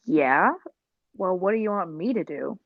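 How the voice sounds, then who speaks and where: A woman as a slow, scheming circus villainess. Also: lowish voice, lively intonation, no echo, phone-quality sound. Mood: curt, wary. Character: jealous, cold-blooded circus performer villainess. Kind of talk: 1940s radio drama acting.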